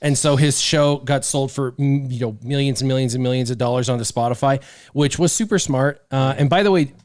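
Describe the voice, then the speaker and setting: A man with a medium-pitched voice hosts a casual livestream. He talks in loose banter, leaning hard on his points and restating them for emphasis. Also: a little fast, a little mellow.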